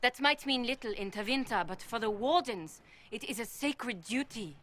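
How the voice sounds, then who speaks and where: An actress playing a stern, proud and resolute warden commander in a video game, speaking in a solemn, declamatory way. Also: female voice, on the high side, animated, normal speed, a bit bright, a little hiss or hum. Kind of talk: dramatic video game acting.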